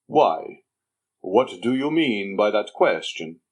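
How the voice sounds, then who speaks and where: A man voicing an aging schemer who poses as a dignified father, reading in a measured, stately way. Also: fairly low pitch, sing-song, heavily acted. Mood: guarded. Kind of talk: audiobook character reading.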